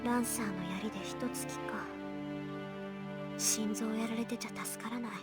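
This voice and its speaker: A woman playing a proud, sharp-tongued teenage girl mage in a Japanese anime visual novel, with music behind her. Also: on the high side, flat delivery, a little fast, close to an everyday voice. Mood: grim, subdued.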